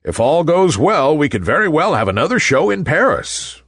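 A man voicing a sophisticated, brusque theatre manager with a booming, offhand way of speaking, in a storybook. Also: sing-song, normal speed, a bit bright, slightly rough, no room sound, exaggerated voice. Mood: brisk.

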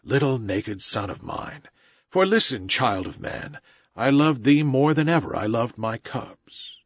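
Tender storybook mother wolf, soft and husky, as a man puts her on. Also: medium pitch, expressive, unhurried, dry recording. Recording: slightly dull recording.

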